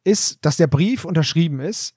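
A man's voice: fairly high pitch, lively intonation, moderate pace, darkish tone, slightly rough, no echo. A man with a somewhat raised voice talks through a plot recap, loose and rambling. The sound is dry and close, with no room behind it. He keeps an easy, chatty podcast tone. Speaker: podcast co-host. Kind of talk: casual episode recap.

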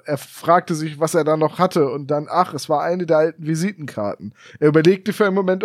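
Male co-host, sarcastic questioner, higher voice, dry sound. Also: no room sound. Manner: sarcastic, quick to joke and question. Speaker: podcast co-host. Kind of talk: casual chat.

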